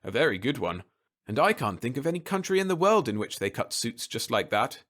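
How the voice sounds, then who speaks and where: A man's voice: mid-range voice, sing-song, fairly quick, fairly bright tone. Man playing a precise, authoritative colonel. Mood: assured, analytical. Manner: precise, matter-of-fact. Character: authoritative, observant military colonel.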